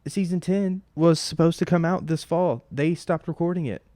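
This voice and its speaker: A man's voice: a little high, steady tone, moderate pace, dark tone, no room sound. A man in his twenties hosting a casual solo podcast from home, rambling and profane, full of fillers and restarts. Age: 20s. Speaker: podcast host. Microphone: close mic at home.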